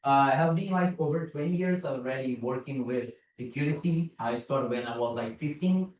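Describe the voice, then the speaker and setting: A man's voice: mid-range voice, moderate pace, a little mellow. A man from Brazil with a Brazilian Portuguese accent, giving a casual, self-deprecating conference talk as a security consultant. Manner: casual, self-deprecating, frequent "like" and "you know". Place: large conference room. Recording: echoey hall, voice from far off.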